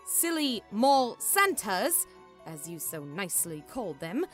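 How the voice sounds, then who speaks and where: A woman playing a brisk, bossy young witch in a radio drama, explaining things emphatically. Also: a little high, a bit bright. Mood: sarcastic.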